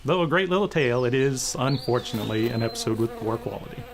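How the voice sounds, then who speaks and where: A man reading a scripted introduction as a show host, with measured, theatrical phrasing. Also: medium pitch, animated. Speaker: host introducing old radio shows.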